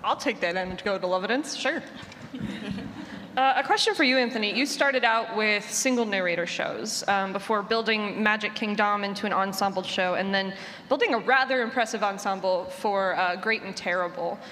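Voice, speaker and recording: A woman's voice: mid-range voice, normal speed, fairly bright tone. A woman speaking off the cuff as a panel moderator, putting questions to the guests. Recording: echoey hall, background noise.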